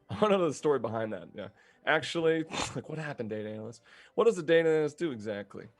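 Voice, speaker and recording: A man's voice: on the high side, animated, fast, soft background music. A man hosting a casual group playthrough of a game, who chats freely and laughs often.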